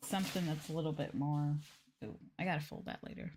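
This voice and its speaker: A woman streaming ASMR, chatting casually and softly to her viewers, often slipping toward a whisper. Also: low-pitched, fairly even intonation, fast talker, a little hiss or hum. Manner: soft-spoken, often dropping into whispers. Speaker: VTuber streamer hosting an ASMR livestream. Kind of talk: casual ASMR soft talking to chat. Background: crinkling plastic and tapping trigger sounds.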